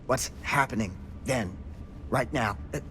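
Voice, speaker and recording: An actor playing a blunt, urgent, haunted old former small-town sheriff in a cinematic horror game. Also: male voice, mid-range voice, unhurried, bright, forceful tone, a little hiss or hum. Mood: agitated. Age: older.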